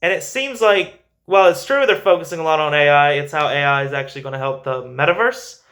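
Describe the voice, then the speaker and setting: A man's voice: fairly high pitch, brisk, fairly bright tone. A man hosting a finance show, giving informal, emphatic stock commentary and repeating phrases for stress.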